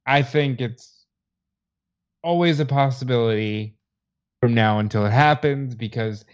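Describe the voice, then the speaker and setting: A man co-hosting a casual podcast chat, talking quickly and loosely. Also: mid-range voice, clean tone, highs cut off. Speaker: podcast co-host.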